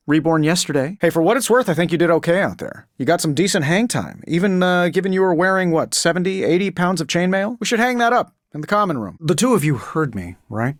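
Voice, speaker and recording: An actor playing a witty, cocky billionaire inventor superhero in a video game, with a glib, sardonic, conversational delivery. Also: male voice, fairly high pitch, no echo, studio quality, close to an everyday voice. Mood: glib, teasing. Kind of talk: video game character voice lines.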